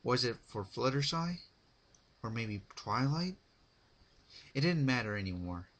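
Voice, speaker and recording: A man's voice: medium pitch, very animated, measured pace, a bit bright, slight background noise. A man narrating a piece of fan fiction as a dramatic reading.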